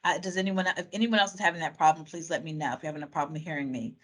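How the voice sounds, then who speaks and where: A woman's voice: sits low, fairly even intonation, racing, fairly bright tone. A woman facilitating a community video call, reading out and summing up participants' answers in a chatty way with fillers and restarts. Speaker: meeting facilitator.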